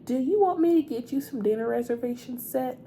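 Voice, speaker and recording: A woman playing a sweet, chatty, gossipy hotel receptionist in a soft-spoken, confiding ASMR roleplay, repeating her words. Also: sits high, expressive, fairly quick, background noise. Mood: helpful, friendly.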